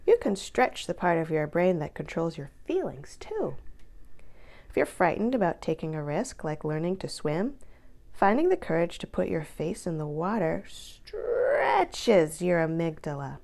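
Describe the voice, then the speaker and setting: A woman reading a children's book aloud in an expressive, deliberate way, drawing out key words for emphasis. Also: lowish voice, vocal fry.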